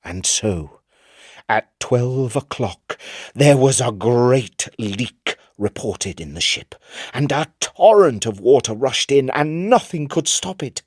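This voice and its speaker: A man narrating a literary tale in an expressive, theatrical storytelling manner. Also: medium pitch, takes it slowly, breathy edge, no room sound, clean studio sound. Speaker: narrator, audiobook reader. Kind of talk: dramatic scripted literary narration.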